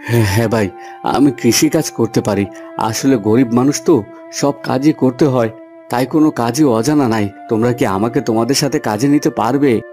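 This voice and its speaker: Man playing a mellow, humble poor farmhand. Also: mid-range voice, normal speed, soft background music, lightly acted. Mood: humble, eager. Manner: earnest, pleading. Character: poor, humble, weary village farmhand husband. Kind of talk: Bengali cartoon fairy tale acting.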